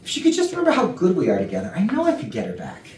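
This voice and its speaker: A lovesick man played by a woman insists defensively that all is well, in a staged comedy. The low voice swings widely in pitch and sits far off in an echoey room. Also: huge pitch swings, racing, darkish tone. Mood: hopeful, defensive. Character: lovesick man in hopeful denial over his breakup. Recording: distant echoey rehearsal room, faint noise.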